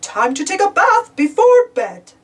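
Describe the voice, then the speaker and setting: A woman voicing a genial father pig in a storybook, with a gently firm parental manner. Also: very high-pitched, sing-song, unhurried, recorded from a distance, a little hiss or hum, pitched above the performer's own voice, exaggerated voice. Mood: calling out.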